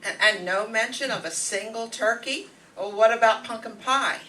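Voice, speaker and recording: Actress as a bright-voiced, practical wife. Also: female voice, fairly high pitch, normal speed. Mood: coaxing. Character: practical, soothing wife managing her household. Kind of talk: radio comedy play acting. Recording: noisy, distant stage microphone.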